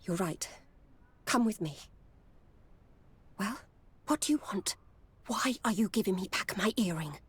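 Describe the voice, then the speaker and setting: Guarded, world-wise game courtesan. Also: female voice, mid-range voice, lively intonation, a little slow, long pauses, slightly rough. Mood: nervous, pleading. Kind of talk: video game voice acting.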